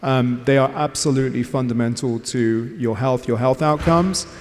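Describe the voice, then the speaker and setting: Panelist man, promotional pitch, halting pauses, mid voice, hall reverb. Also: steady tone, a little mellow, slight background noise. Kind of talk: conference panel discussion. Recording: long reverb of a conference hall.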